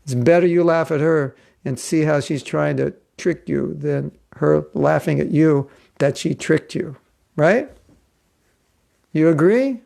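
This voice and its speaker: A man's voice: a little high, measured pace, gravelly, darkish tone. A man giving a casual, joking class as a spiritual teacher, chatty and rambling. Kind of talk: casual online class talk.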